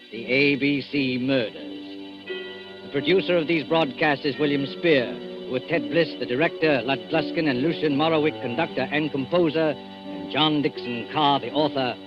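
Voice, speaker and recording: A man narrating and announcing a suspense series in formal, dramatic, deliberate 1940s radio style. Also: on the high side. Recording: dull 1940s radio recording over music.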